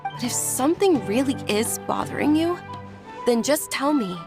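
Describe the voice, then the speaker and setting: A woman voicing a young, sheltered and perceptive noblewoman in a video game, earnest and gently insistent. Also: high-pitched, animated, normal speed. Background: game background music.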